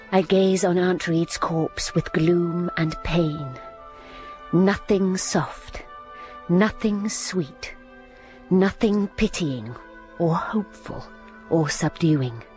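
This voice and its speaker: An earnest, restrained young governess, who also narrates the story in a radio drama. Her measured, unhurried voice sits over faint music. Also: lowish voice, darkish tone. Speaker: narrator and heroine. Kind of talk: radio drama acting and first-person narration.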